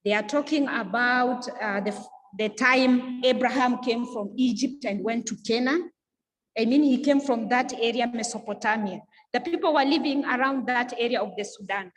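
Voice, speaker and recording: High-voiced woman in a reverberant hall. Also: normal speed. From East Africa. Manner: earnest, repeats words and restarts, emphatic. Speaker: speaker giving a talk on history. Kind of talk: impassioned informal lecture.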